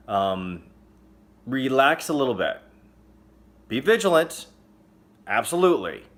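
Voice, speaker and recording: Man, show host, casual comedic news roundup, joking and chatty, bursts of loud exclamation. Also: medium pitch.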